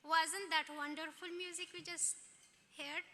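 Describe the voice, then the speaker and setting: A woman's voice: extremely high pitch, little pitch movement, a little slow, pushed and bright. A woman from Afghanistan, an HR coordinator, formally introducing a gala evening. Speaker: HR coordinator and co-emcee. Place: large ballroom. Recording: hall reverb, clear crowd noise.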